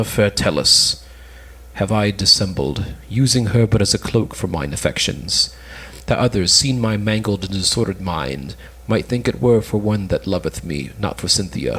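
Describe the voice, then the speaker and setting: A man's voice: fairly low pitch, a bit bright, slightly rough, exaggerated voice, rough recording. A man playing a lovesick, melancholy suitor, earnestly declaiming in an amateur cold reading of an old stage play.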